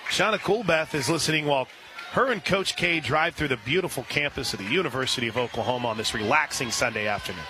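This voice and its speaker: An American man doing live radio play-by-play of softball, chatty and folksy between pitches. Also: fast talker, music in the background. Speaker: play-by-play announcer. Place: outdoor softball stadium. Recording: noisy outdoor ballpark broadcast. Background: loud ambient ballpark noise.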